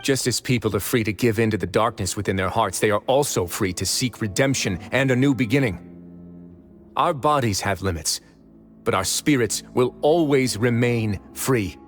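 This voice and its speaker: An actor voicing a calm, stern young male prison duke in a video game, measured and composed. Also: lowish voice, soft background music, natural voice. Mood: firm. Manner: measured, composed, quietly cutting.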